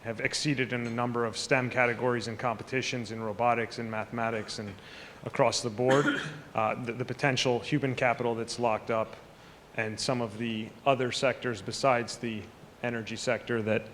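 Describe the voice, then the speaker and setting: A man moderates a conference panel and strings his questions together loosely. His pitch stays flat and even. The room adds a long echo and a faint hiss. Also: normal speed. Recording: echoey hall with faint noise.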